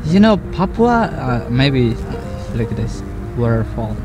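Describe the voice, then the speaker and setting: A young Indonesian man whose first language is Javanese, hosting a travel vlog and chatting in halting English with many restarts and fillers inside a camper van. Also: mid-range voice, very animated, over music. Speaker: travel vlogger host. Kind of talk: casual chat in halting English.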